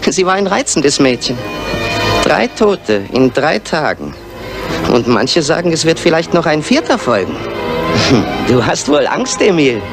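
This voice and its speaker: A man's voice: fairly high pitch, huge pitch swings, unhurried, vocal fry, exaggerated voice. An actor playing an escaped killer who poses as a courteous village postman in a German radio crime drama. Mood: taunting. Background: music.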